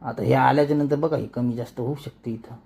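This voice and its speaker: A man's voice: mid-range voice, fairly even intonation, fast, gravelly, warm tone, breathy edge. A man with a rural Marathi accent from Maharashtra, giving a casual, colloquial step-by-step tutorial and often repeating words as he demonstrates. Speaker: animation tutorial creator.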